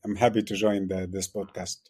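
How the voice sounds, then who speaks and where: A man's voice: low-pitched, level tone, a little fast, dark tone, slightly rough. A man from Egypt, a tour guide and author, answering interview questions in an explanatory way with frequent fillers.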